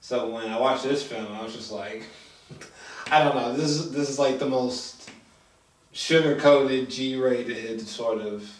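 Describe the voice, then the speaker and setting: A man is heard from a little way off in an echoey room. He reviews films in casual conversation as a host, groping for words in a halting, informal flow with some swearing. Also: mid-range voice. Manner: halting, filler-heavy, swearing, animated when excited. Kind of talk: casual film review chat. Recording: echoey room, distant from mic.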